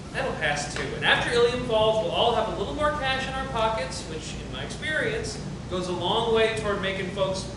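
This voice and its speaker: Man acting a cocky, very high-voiced dealmaker, echoey room. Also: animated, moderate pace. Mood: dismissive, confident. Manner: casual, sardonic, defensive. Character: cocky, self-justifying, profane company dealmaker. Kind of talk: dramatic table reading of a stage play. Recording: very echoey room, noisy rumble.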